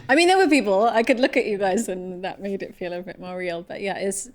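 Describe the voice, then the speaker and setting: A woman's voice: mid-range voice, fairly quick. A woman musician chatting casually in an interview, thinking aloud in an informal way.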